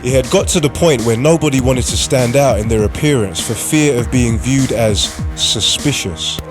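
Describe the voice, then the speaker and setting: A man's voice: mid-range voice, normal speed. Man narrating drama over music. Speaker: writer-performer, narrator. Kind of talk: scripted radio drama narration. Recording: music under the voice.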